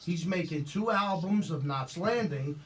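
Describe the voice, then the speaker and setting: A casual male host with a slightly raised voice guides the chat and reads out messages from viewers. He sounds relaxed and plain. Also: a little high, unhurried, rough recording. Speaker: show host. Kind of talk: live-stream interview hosting.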